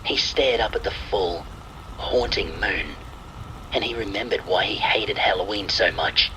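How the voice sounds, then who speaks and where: A man's voice: lowish voice, a little slow, bright, forceful tone, slight background noise, low-quality recording. A man reading scripted narration of a spooky story, with rain ambience.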